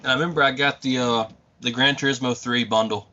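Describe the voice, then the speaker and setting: A man's voice: mid-range voice, fairly quick. Twenty-seven-year-old man reminiscing, lo-fi. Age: 20s. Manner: reminiscing, earnest, steady. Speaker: podcast guest. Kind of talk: casual chat. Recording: dull lo-fi podcast mic.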